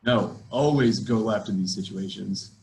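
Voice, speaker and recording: A man playing a nervous, bossy high school boy in an amateur script reading. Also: moderate pace, a little mellow, lightly acted, poor audio. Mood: insistent. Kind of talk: amateur table read of a movie script.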